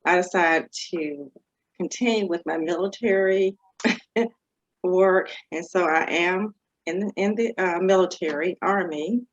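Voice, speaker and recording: A woman physician introducing herself on an online panel, halting, with many fillers and frequent laughs. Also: lowish voice, muffled recording. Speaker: physician guest.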